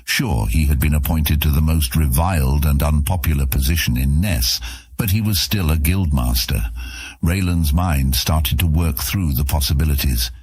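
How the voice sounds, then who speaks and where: A man narrating a fantasy audio drama in an even, measured storytelling manner with a husky voice. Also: extremely low pitch.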